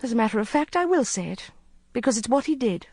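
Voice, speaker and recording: An anxious young woman on the edge of tears, voice pitched well up and trembling as she pleads, as a woman reader puts her on. The sound is close and dry, as in an audiobook booth. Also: very animated, fast, a little mellow, no room sound. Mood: agitated. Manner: high, tearful, pleading. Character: distressed young woman, emotional and restless.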